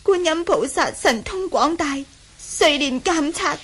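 A woman's voice: high-pitched, animated, a little slow, a bit bright. A woman acting a meek, devout young Buddhist nun in a radio drama, earnest and deferential. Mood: earnest, reverent.

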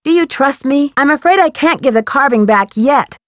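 An earnest young woman sleuth from an adventure game. Her voice sits fairly high and she speaks politely, with clear diction and an inquisitive air. The recording is dry, with no room sound. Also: expressive, normal speed, dry recording. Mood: reassuring, businesslike. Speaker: voice actress in a detective adventure game. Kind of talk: scripted game dialogue.

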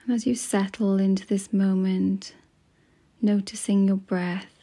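A woman guides a meditation in a hushed, breathy voice. Her delivery is slow and soothing, with long pauses between phrases. The pitch stays in a middle range with very little movement, giving an even, gentle flow. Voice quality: soft, breathy, often near a whisper.

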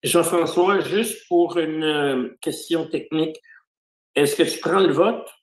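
A man asks a polite, slightly hesitant question during an online meeting. His voice is fairly high, and it is picked up in a roomy, echoing space over the call. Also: takes it slowly. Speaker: committee member. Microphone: video call. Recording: echoey room on a call.

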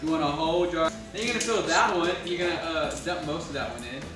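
A man's voice: a little high, quick pace. A man in his twenties giving a folksy, joking cooking demonstration, repeating his instructions. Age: 20s. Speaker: home cook demonstrating a step. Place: home kitchen, indoors. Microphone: camera across the counter. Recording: very echoey kitchen, distant mic, music behind.

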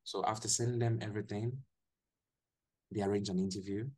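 A young man with a Ghanaian accent, a guest telling his story in a relaxed way on a video call from a small room, often restarting his sentences. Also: lowish voice, fairly quick. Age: young adult. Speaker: guest, English teacher. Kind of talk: casual video-call interview.

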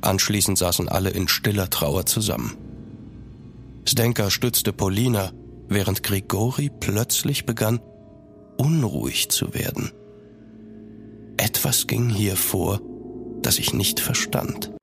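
An actor in a German horror radio play as a sceptical gentleman traveller, measured and grave. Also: lowish voice, animated, vocal fry, soft background music. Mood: grave narration. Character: sceptical gentleman traveller recounting a dark tale. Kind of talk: scripted first-person radio drama narration.

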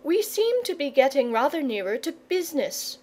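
A woman's voice: high-pitched, very animated, normal speed, a little mellow, pitched above the performer's own voice. A woman voicing a blunt, impatient young boy in a storybook, speaking in an insistent, forthright way. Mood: urgent. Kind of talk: storybook character reading.

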